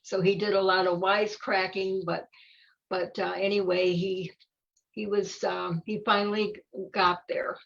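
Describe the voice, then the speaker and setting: Older lady chatting about a kids book, gravelly, stops and restarts, kinda muffled recording. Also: mid-range voice, flat delivery, fairly bright tone. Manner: halting, repeats words. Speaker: volunteer story reader. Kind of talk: informal book discussion. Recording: dull lo-fi audio.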